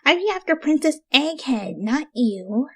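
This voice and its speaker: A brash cartoonish undead mare, acted out by a woman reading a story. The voice is high and very animated, slangy and casual. She wheedles and pushes like someone who is always hungry. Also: measured pace, exaggerated voice. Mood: hungry, musing.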